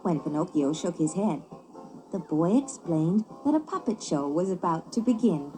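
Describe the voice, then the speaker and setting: A woman narrating a children's story as a book on tape, expressive and clearly paced. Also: on the low side, moderate pace, music in the background, low-quality recording.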